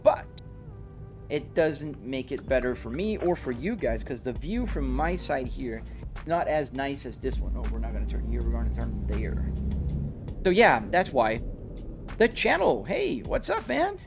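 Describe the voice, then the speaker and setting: A man's voice: mid-range voice, very animated, a little slow, creaky. A man in his forties talks loosely to his viewers while he drives, explaining his setup in an easygoing, conversational way. His voice sits in a middle register, with faint music playing behind him. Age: 40s. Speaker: streamer. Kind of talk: live-stream chat while driving.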